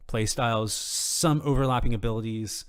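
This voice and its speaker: A man with a slightly hoarse voice giving an unscripted, rambling game tutorial, full of ums and restarts in a relaxed, casual way. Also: mid-range voice, normal speed. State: slightly hoarse, losing his voice. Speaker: game guide video creator.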